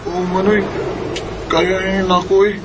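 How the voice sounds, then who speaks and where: A man acting an ailing, weary young brother in a Bisaya radio drama, with a halting, groaning, weak delivery. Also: sits high, little pitch movement. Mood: pained, weary. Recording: noisy background behind the voice.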